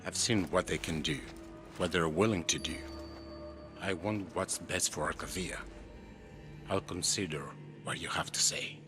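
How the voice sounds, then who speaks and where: An older greek ruler, low voice, grave and guarded, game scene with music. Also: male voice, unhurried, long pauses. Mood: weary, guarded. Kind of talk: video game dramatic dialogue.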